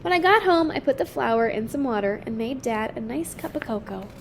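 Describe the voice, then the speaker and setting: A woman's voice: a little high, animated, fairly quick, gravelly, a little hiss or hum. A woman voicing a cheerful, frank young girl in a picture book, chatty and candid. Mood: happy. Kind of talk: children's picture book read-aloud.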